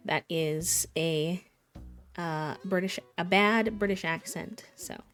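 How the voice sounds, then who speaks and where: A woman's voice: on the low side, slow, a bit bright, faint music behind. A woman streaming a game, chatting casually and greeting viewers by name. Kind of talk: casual livestream chat while gaming.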